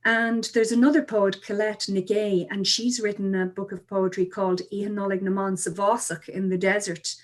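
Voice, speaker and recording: Mid-pitched woman explaining informally. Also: level tone, normal speed, hardly any pauses. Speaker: guest expert on Irish tradition and poetry. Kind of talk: conversational explanation.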